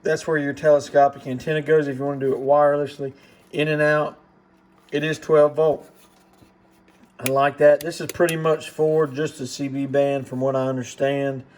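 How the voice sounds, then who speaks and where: A man with a slightly high voice presents gear in a casual, understated manner. He talks plainly, like someone showing a new gadget at his bench. There is some faint noise behind him. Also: level tone, moderate pace, a little mellow. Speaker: host of a radio gear review channel. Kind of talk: casual unboxing and product demonstration. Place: indoor workbench in a radio shop. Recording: clearly noisy room recording.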